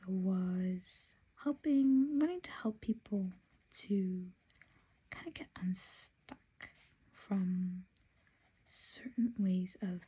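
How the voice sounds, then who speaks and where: Woman whispering thru a design session, mellow low-key voice, faint hiss behind. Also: rough recording. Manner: soft whisper, chatty and self-talking. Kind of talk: whispered casual chat while sketching designs.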